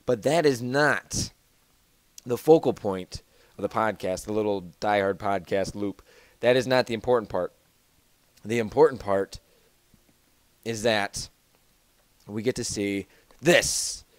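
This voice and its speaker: A man's voice: lowish voice, measured pace, pauses often, no room sound. A man hosting a casual podcast from home over a voice call, rambling with lots of fillers and restarts. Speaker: podcast host.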